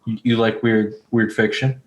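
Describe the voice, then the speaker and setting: A man hosting a podcast in casual chat, speaking bluntly and haltingly with plenty of swearing. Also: lowish voice, flat delivery. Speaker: podcast host.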